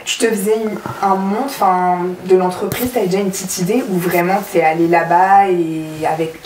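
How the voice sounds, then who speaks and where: A woman's voice: fairly low pitch, fairly even intonation, moderate pace, darkish tone. A woman co-hosting an interview, asking questions in a casual, informal style. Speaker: podcast co-host, interviewer. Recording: distant, roomy, noisy pickup.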